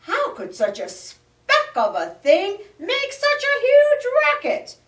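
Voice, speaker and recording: A woman's voice: medium pitch, sing-song, measured pace, bright, forceful tone. A woman growling as a grumpy big bear. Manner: growling, grumbling. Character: grumpy big male bear woken from hibernation. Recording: roomy, distant mic.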